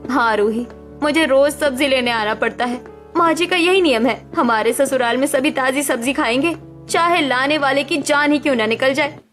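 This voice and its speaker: A woman voicing a tired, patient elder daughter-in-law who soothes and warns gently. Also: high-pitched, moderate pace, faint music behind, voice pushed up. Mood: weary.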